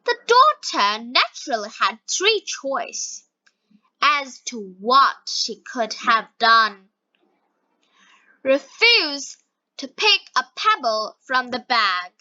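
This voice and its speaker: A woman reading scripted story narration for language learners, word by word with frequent pauses. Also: high-pitched, very animated, pushed and bright, no room sound, highs cut off.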